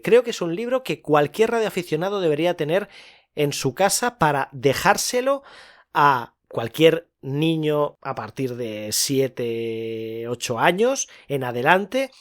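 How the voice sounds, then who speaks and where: A man with an accent from Spain, presenting a podcast in a conversational style as its host. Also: fairly high pitch. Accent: Spain Spanish.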